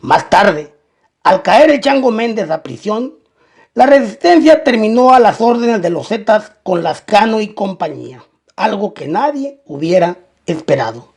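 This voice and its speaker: A male narrator tells a scripted crime story in documentary style. His voice sits high for a man and has a strong gravelly fry running through it. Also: big pitch swings, measured pace.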